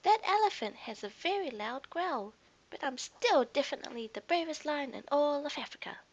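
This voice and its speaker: Woman voicing a plucky, high-pitched little lion cub. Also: expressive, normal speed, dull audio. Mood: shaken but proud. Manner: bold, self-important, exclaiming.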